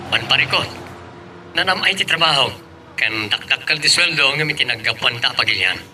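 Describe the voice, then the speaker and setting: A man's voice: medium pitch, animated, normal speed, bright, forceful tone. A man playing a supportive husband and father working abroad in an amateur Ilocano drama. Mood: conversational. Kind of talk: Ilocano radio-style family drama acting. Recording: distant mic, background noise.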